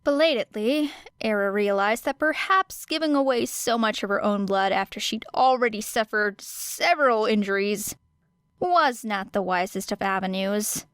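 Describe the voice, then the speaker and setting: Female audiobook narrator, mid-range, deliberate and expressive dramatic storytelling, recorded dry. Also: medium pitch, clear, resonant tone, no room sound. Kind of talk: dramatic scripted fiction narration.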